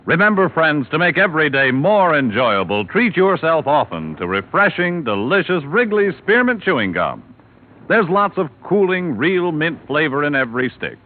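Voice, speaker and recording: A man's voice: fairly high pitch, sing-song, moderate pace. A male announcer reading a sponsor ad and credits in polished 1950s radio style. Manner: bright, polished announcer delivery. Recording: tinny old radio broadcast with music.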